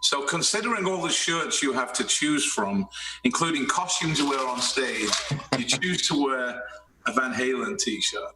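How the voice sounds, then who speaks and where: A man's voice: medium pitch, unhurried, roomy sound, far from the mic, background noise. A man hosting a podcast, chatting in a casual, upbeat and complimentary way. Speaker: podcast host. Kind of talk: casual interview chat.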